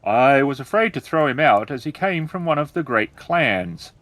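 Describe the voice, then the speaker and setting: A man playing a polite, cautious innkeeper in a samurai-era tabletop story, with a deferential, measured way of talking. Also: medium pitch, animated, a bit bright, dry recording, voice pushed up, close to an everyday voice. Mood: apologetic, careful.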